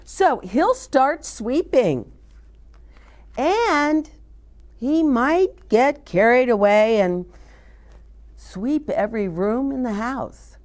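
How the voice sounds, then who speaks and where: A woman reads a picture book aloud for young children. Her pitch swings in a lively way and she takes her time over the words. As a storytime host, she is expressive and adds chatty asides to her listeners. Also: very slow, long pauses.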